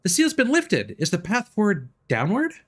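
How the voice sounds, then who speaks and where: A woman voicing a small, excitable, childlike fairy companion in a video game, squeaky and eager. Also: moderate pace. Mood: curious, eager. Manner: exclamatory, eager.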